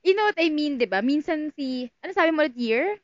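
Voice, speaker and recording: Lively, high-pitched Filipino woman. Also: quick pace, no echo, highs cut off. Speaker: podcast co-host. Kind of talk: casual chat, switching between English and Tagalog.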